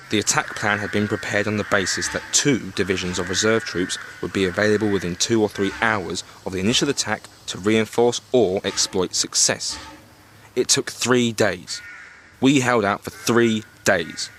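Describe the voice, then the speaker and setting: An actor playing a blustering, indignant British army captain of the First World War in a period audio drama, with a clipped English accent. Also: male voice, on the low side, steady tone, normal speed, a bit bright, slight background noise, lightly acted.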